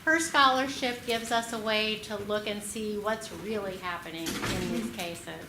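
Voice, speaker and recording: A woman's voice: medium pitch, unhurried. A woman introducing a keynote speaker at a conference, admiring and with frequent filler words. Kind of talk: formal introduction. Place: conference room. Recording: roomy hall with clear noise.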